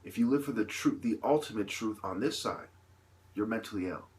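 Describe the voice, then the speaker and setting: A man with a fairly low voice speaks off the cuff to his viewers in an impassioned monologue. He builds his points through emphatic repetition and rhetorical questions, often halting between phrases. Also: darkish tone. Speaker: vlogger delivering an opinion monologue.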